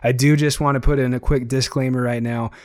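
A young man hosting his own podcast, talking casually and eagerly with a slightly hoarse, tired voice. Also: mid-range voice, little pitch movement, brisk, a little mellow, no echo, studio quality. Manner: chatty, enthusiastic, frequent fillers. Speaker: podcast host. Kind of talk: casual solo podcast monologue.

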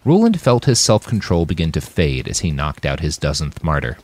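A man's voice: a bass voice, very animated, normal speed, a little mellow, slight background noise. A man reading his action fiction aloud in an audiobook style. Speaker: author reading his own fiction on a podcast.

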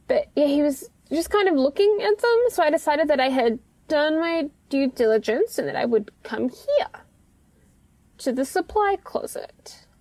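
A woman's voice: high voice, darkish tone, no echo. A woman playing a nervous supermarket night-shift worker in an audio drama, rambling hesitantly and apologetically. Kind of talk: naturalistic audio drama monologue.

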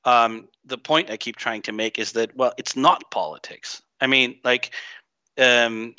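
A man's voice: medium pitch, steady tone, a little slow, sharp tone, clean tone, no room sound. A man who grew up in Greece, a guest in a casual interview, talking bluntly with swearing and plenty of ums and restarts. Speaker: podcast guest. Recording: slightly muffled dry recording.

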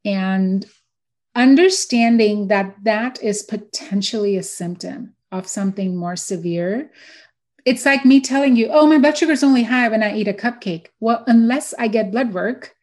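Woman explaining clearly. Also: fairly high pitch, normal speed, darkish tone. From India. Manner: explanatory, uses quoted examples and analogies. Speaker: dentist guest. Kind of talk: casual interview answers.

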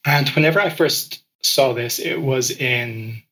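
A man with a bright, forceful voice speaks as a podcast guest. He grew up in Ecuador and tells his story casually, stumbling into small repeats as he goes. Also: medium pitch, measured pace, rough recording. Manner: frequent word repeats and restarts. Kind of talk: conversational interview storytelling.

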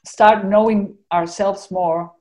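A woman's voice: medium pitch, fairly even intonation, takes it slowly, darkish tone. A woman with an Argentinian accent, a podcast guest talking casually and earnestly about her spiritual life, rambling and dotting her talk with "you know".

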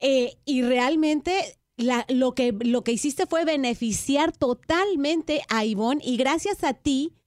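A woman's voice: high-pitched, slow, a little mellow, no room sound, clean studio sound. A woman from Mexico co-hosting a talk show, speaking bluntly and challenging the guest. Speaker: co-host.

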